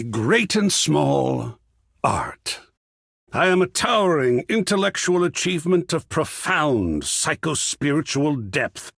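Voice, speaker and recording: A man voicing a whimsical, effusive video game character who is art itself, raspy and gravelly, speaking in a grandiose, florid, theatrical way. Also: medium pitch, no echo, studio quality, full character voice. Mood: grandiose, dramatic. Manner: grandiose, florid, savoring words with dramatic swoops. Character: flamboyant, whimsical living embodiment of art, effusive and philosophical.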